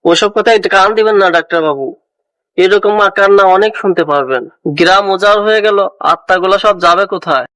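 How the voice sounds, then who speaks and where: A man's voice: sits high, fairly quick, a bit bright. A man playing a desperate, grieving village father in a horror drama, plaintive and pleading. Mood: eerie, resigned. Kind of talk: horror audio drama acting.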